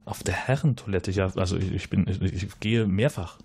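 A man's voice: moderate pace, darkish tone, husky, no room sound, full character voice. A man doing a flustered, rambling suspect. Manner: rambling, hesitant, many fillers, jumps up in pitch when flustered. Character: flustered, evasive male base resident, rambling and awkward.

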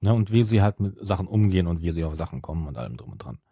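A middle-aged man, co-hosting a casual review podcast in a measured, qualifying manner. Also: low voice, big pitch swings, dark tone, slightly rough, no echo. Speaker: podcast co-host.

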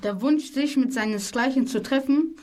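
A teenage girl reads her part of a scripted youth radio report. Her voice is fairly high and mellow. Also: moderate pace. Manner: reading a script.

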